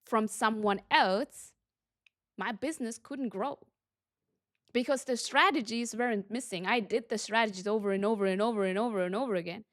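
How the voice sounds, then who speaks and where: Slow, earnest woman coach. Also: a little high. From Germany. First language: Tamil. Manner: earnest, emphatic, repeats for stress. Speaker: business coach. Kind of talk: motivational talk to camera.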